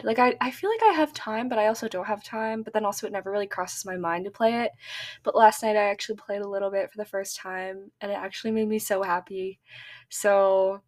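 A young woman hosts her podcast in a chatty, upbeat way, with plenty of "um"s and "like"s. Her voice sits at a middle pitch for a woman. She sounds relaxed and informal. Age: young adult. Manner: chatty, filler-heavy, upbeat. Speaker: podcast host. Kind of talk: casual podcast monologue.

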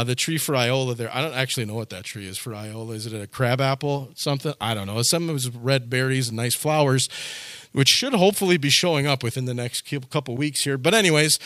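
A man's voice: mid-range voice, fairly quick, a bit bright, no echo. Folksy conversational male preacher, dry sound. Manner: conversational, folksy, with frequent repetitions and asides. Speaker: pastor. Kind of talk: sermon.

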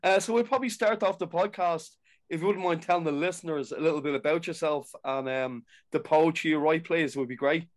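A man's voice: fairly high pitch, fairly quick. A man hosting a podcast, introducing his guest with enthusiastic, repeated praise and frequent "um"s. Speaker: podcast host. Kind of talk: podcast intro and interview chat.

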